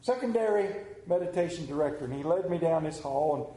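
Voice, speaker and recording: A man preaching as a pastor to a congregation, speaking in a conversational, folksy way with deliberate pauses. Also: a little high, animated, mellow. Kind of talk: sermon with anecdote, scripture reading and prayer. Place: church during a service, also on video. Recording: roomy, echoing church sound.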